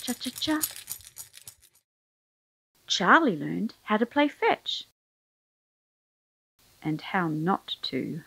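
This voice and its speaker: A woman's voice: medium pitch, very animated, extremely slow, vocal fry, pauses often, noisy background. A woman reading a children's picture book aloud as storyteller, expressively, drawing out key words for emphasis.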